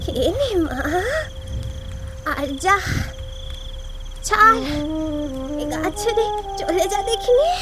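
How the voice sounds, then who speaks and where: A woman's voice: very high-pitched, big pitch swings, takes it slowly, long pauses, over loud music, heavily acted. A woman playing an old village exorcist with a rural Bengali accent in a horror audio drama, coaxing in a sing-song incantation. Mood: coaxing, pleading. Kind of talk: horror audio drama acting, chanted folk rhyme.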